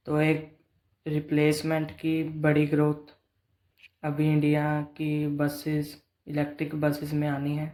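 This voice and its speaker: A man's voice: fairly high pitch, a little mellow. A man presenting a financial update in a plain, explanatory way, mixing in English business terms. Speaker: presenter of company earnings updates. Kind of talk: explanatory financial commentary, semi-scripted.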